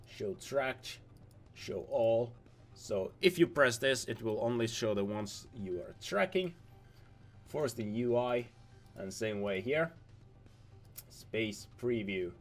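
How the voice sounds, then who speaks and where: A young man, a little hoarse from losing his voice, giving casual rambling commentary over game footage, repeating himself and restarting words. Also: medium pitch, expressive, slow, long pauses, faint music behind. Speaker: gaming video host.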